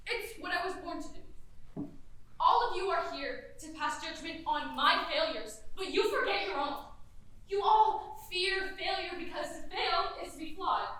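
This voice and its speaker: A teenage girl on stage playing a defiant, mischievous goddess of ruin, declaiming with dramatic pauses. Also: very high voice, lively intonation, sharp tone, very echoey, recorded from a distance, heavily acted, low-quality recording. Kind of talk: live stage play acting.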